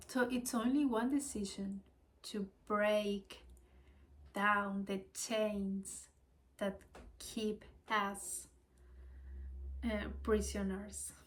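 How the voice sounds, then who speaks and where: A woman whose first language is Spanish, with a Spanish accent, reading spiritual lessons slowly and hesitantly, adding her own reflections. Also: mid-range voice, fairly even intonation. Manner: hesitant, apologetic, with small laughs and restarts.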